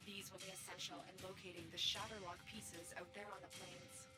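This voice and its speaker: Woman doing a steady game mission guide, music blasting under her. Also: mid-range voice, a little fast, natural voice. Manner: level, instructive. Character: calm female mission guide on the radio. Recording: game audio over loud music.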